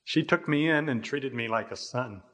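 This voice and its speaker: An older American man preaching an expository sermon in a measured, deliberate way, stressing phrases and letting the endings fall away. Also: medium pitch, fairly bright tone. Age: older, about 60. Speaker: pastor. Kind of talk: expository sermon with scripture readings.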